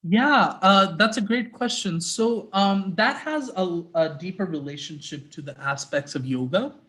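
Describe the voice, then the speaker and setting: A man's voice: high voice, expressive, measured pace, fairly bright tone. Earnest man speaking on a video call. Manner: earnest, reflective, devotional tone. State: sore throat. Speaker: guest speaker, interfaith activist. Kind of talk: talk then answering audience questions.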